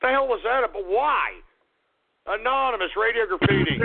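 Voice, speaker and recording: A man hosting a call-in radio show, ranting, shouting and swearing at callers while losing his voice. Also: very high-pitched, expressive, moderate pace, creaky, very noisy. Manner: shouting, profane, abrasive. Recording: phone-like narrow sound.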